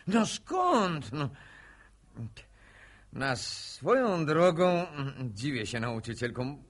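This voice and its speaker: A man's voice: fairly high pitch, fairly bright tone, exaggerated voice. An actor playing a smirking, needling village man in a Polish radio drama, mock-gallant and mocking in manner. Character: smirking, needling village man, ex-corporal, teasing a courting couple.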